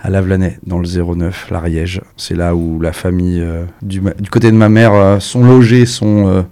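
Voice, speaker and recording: A man's voice: deep voice, fairly even intonation, moderate pace, mellow. A man from France with a French accent, a musician chatting casually and a bit hesitantly as an interview guest. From France, raised in the Ardennes.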